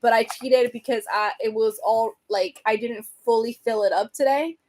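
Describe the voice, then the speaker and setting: A woman hosts a relaxed livestream, chatting loosely with her viewers and laughing easily. Her voice sits a touch high and has grown hoarse from a long stretch of talking. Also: moderate pace. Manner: chatty, rambling, reads and answers comments, laughs often. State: voice getting hoarse. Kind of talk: casual livestream chat.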